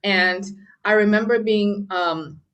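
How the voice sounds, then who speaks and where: A young woman from Malaysia tells her story as an interview guest. She talks in a relaxed, chatty way, full of "um" and "you know", and laughs now and then. Her pitch sits in the middle range. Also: takes it slowly, highs cut off. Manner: chatty, many fillers, laughs often. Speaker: guest pianist. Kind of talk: casual interview talk.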